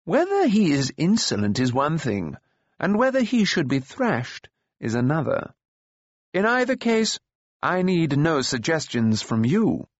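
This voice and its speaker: An elderly wizard of a fantasy storybook, voiced by a male narrator, stern and impossible to ruffle. He dismisses people curtly, with pitch lifted above a plain reading voice. The recording is dry and slightly dull. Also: huge pitch swings, unhurried, no echo, heavily acted. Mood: unperturbed. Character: old male enchanter, unperturbed, stern and dismissive.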